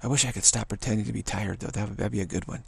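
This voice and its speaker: An older man, a spiritual teacher, giving a rambling monologue, halting and repeating words, with frequent chuckles, recorded on an action camera. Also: on the low side, steady tone, creaky, darkish tone, breathy edge.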